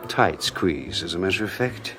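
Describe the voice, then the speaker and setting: A deep-voiced smug schemer. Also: male voice, animated, normal speed, fairly bright tone. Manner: smooth, smug and mocking. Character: suave scheming male husband, middle-aged. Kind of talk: 1980s radio drama acting.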